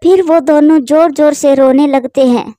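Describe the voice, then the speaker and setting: A woman's voice: sits high, normal speed, no room sound, studio quality. Woman narrating a cartoon story. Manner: steady, plain storytelling.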